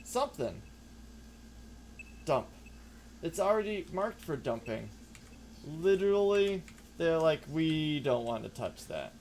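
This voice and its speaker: A man's voice: a little high, very animated, slow, long pauses, darkish tone, slight background noise. A man streaming a game, rambling casually, answering chat and muttering to himself as he plays. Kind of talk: casual live-stream chat while playing. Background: background music.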